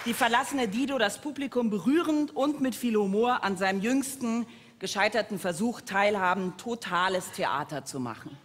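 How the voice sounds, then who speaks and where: A woman's voice: mid-range voice, normal speed. A woman from Germany co-hosting an award show with scripted stage banter. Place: large hall on stage. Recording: reverberant hall, faint background noise.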